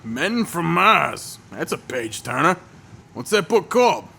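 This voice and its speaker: An actor playing a chatty young cab driver who speaks eagerly and casually in an audio drama. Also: male voice, fairly high pitch, very animated, unhurried, gravelly, pushed and bright, slight background noise, exaggerated voice.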